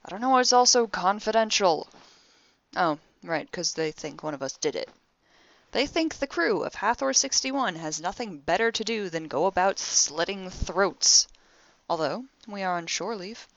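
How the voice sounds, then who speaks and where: A woman playing a wry, deadpan, exasperated young spaceship crew member recording a personal audio diary in a sci-fi audio drama. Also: medium pitch, moderate pace, dry recording, muffled recording, close to an everyday voice. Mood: exasperated, sarcastic, then sarcastic, indignant.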